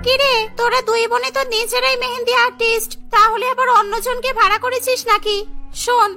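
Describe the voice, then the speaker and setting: A woman's voice: very high voice, flat delivery, a little fast, over music, voice pushed up. A woman voicing a nosy, teasing middle-aged aunt who chats and questions. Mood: teasing, curious. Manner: chatty, questioning.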